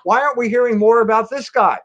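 A man's voice: very high voice, fast, a bit bright, lo-fi sound. A man giving conversational political commentary, emphatic and repeating himself to drive a point home. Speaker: commentator on a news talk show.

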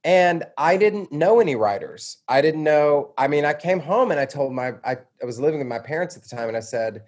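A man's voice: a little high, lively intonation, a little fast, hardly any pauses, darkish tone. Reflective American man telling his story. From suburban Atlanta, Georgia, United States. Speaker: interview guest, writer. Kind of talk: conversational personal storytelling.

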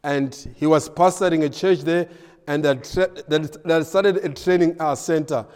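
A man from Malawi, a pastor and missionary in his thirties or forties, giving a ministry update with frequent pauses and fillers. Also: fairly high pitch, steady tone, a little mellow, long reverb tail. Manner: hesitant, many filler pauses.

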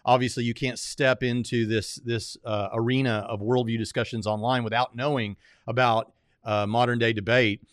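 A man's voice: medium pitch, flat delivery, moderate pace, no echo, studio quality. A man hosting an interview show, talking in an earnest, conversational way and circling around his points.

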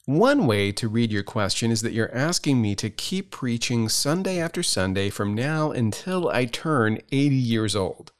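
Fifty-something male pastor, middle pitch, lively and measured, answering questions with gentle humor. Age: 50s. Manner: measured, explanatory, lightly humorous.

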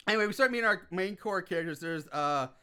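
A man's voice: high-pitched, fast, bright, forceful tone, clean tone. A man co-hosting a podcast, casually recapping a movie scene by scene, rambling, laughing and swearing freely. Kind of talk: casual chat.

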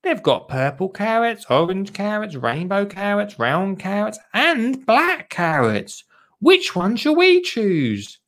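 A man's voice: high-pitched, huge pitch swings, takes it slowly, a bit bright, voice pushed up, heavily acted. A man voicing an eager, chirpy little girl from a storybook in a sing-song, exaggerated way. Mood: excited.

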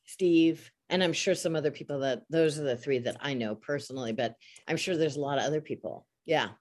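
A woman's voice: deep voice, a little fast, a little mellow. A woman from Chicago, a voice actor and podcast guest, telling stories animatedly, acting out quotes and swearing freely. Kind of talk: casual storytelling interview.

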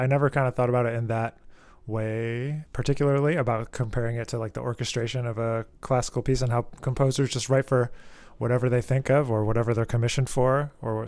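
Guy hosting a music podcast, easygoing and friendly, dry mic, mid pitch. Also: no room sound. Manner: relaxed, friendly, loose phrasing. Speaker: podcast host. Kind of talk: casual interview chat.

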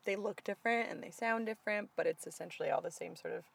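An animated woman talking through a movie plot with a friend, acting out lines as she goes. Her speech is loose and casual, dotted with "like" and quick asides. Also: medium pitch, gravelly. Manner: animated retelling with quoted lines, frequent "like". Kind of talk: casual movie recap chat.

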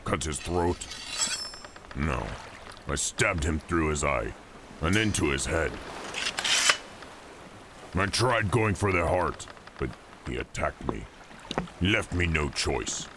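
Exiled orc prince: low, slow, gravelly, grim and blunt, fantasy audio drama. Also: male voice, animated, background noise, heavily acted. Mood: grim, weary. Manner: terse, grim, matter-of-fact. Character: blunt, brooding exiled orc prince who killed his father. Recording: music under the voice.

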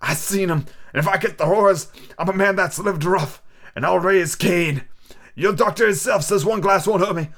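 Male reader as old drunken sea captain: gruff, pleading, higher and louder than narration. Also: moderate pace. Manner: gruff, rough sailor's talk, drawn out.

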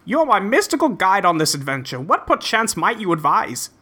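An earnest young gentleman adventurer, acted out in a comic script reading, polite and folksy. The wordy, old-fashioned lines come in a bright, forceful voice with very lively swings of pitch. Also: normal speed. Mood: conflicted, earnest.

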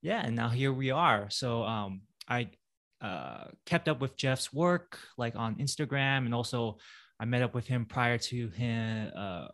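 A man's voice: mid-range voice, lively intonation, measured pace, a bit bright. A man hosting a casual podcast interview, talking with many fillers and restarts. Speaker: podcast host.

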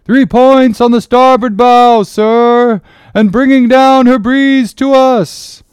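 A man voicing a triumphant sailor on lookout, calling out loudly from the masthead in an audiobook. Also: steady tone, slow, clean tone, no echo. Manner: loud, high, calling out. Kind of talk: dramatic audiobook character reading.

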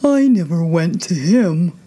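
Gloomy old turtle from a kids book, sighs a lot, drags words out, mellow tone. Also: female voice, lowish voice, expressive. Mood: mournful. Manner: slow, drawn-out, sorrowful sighing delivery. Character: mournful, sighing old Mock Turtle reminiscing about school.

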